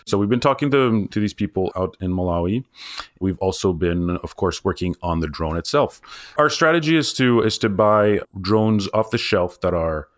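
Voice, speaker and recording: A man's voice: sits low, lively intonation, moderate pace, dry recording, clean studio sound. A man who co-founded a drone startup, talking loosely about his work with frequent "uh"s and restarts. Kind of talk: casual interview talk.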